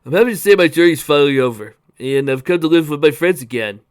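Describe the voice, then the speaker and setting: A man's voice: fairly high pitch, animated, quick pace, clear, resonant tone, natural voice. A man voicing a friendly walrus uncle, hearty and courteous. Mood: hearty, warm.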